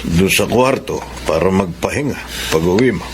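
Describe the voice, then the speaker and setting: An actor playing a gentle, self-pitying, ailing husband in a radio melodrama, hesitant and plaintive in delivery. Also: male voice, low-pitched, expressive, normal speed, background noise. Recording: studio radio drama with music underneath. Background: clear background music.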